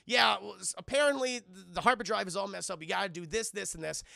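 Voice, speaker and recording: A man voicing an eager, oblivious, fussy protocol robot, chatty and halting, in a comic retelling. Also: sits high, vocal fry, bright, forceful tone, no room sound, studio quality, heavily acted.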